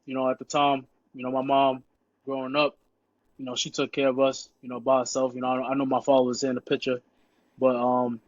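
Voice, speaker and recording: A man's voice: mid-range voice, a little fast, no echo. A young man from Queens, chatting casually as a podcast guest about his life and football, leaning on "you know" again and again. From Queens, New York. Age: young adult. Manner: relaxed, repeats "you know" constantly. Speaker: podcast guest, high school football player. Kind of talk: casual interview chat. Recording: dull, dry lo-fi sound.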